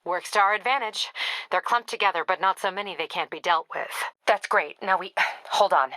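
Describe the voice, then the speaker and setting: A woman's voice: on the low side, fairly quick, bright, forceful tone, dry recording, poor audio. A woman playing a tough, businesslike female police captain in a video game, clipped and authoritative with a wry edge. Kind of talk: video game dialogue acting.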